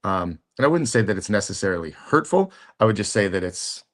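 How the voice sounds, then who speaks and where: Middle-aged guy, really low voice, casual podcast chat, lots of ums and restarts. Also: big pitch swings. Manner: halting, many fillers and restarts. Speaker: podcast co-host.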